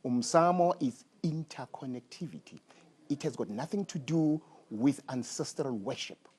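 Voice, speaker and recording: A man hosting a studio interview, speaking in slow, emphatic phrases and mixing in Zulu. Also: medium pitch, darkish tone, husky. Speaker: talk show host.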